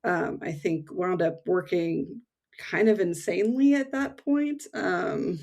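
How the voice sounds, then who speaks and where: A woman from the United States in her thirties chats as a remote podcast guest. Her voice sits low with a gravelly fry. She talks in a relaxed way, with fillers and small laughs. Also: takes it slowly. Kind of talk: casual conversation. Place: home in England.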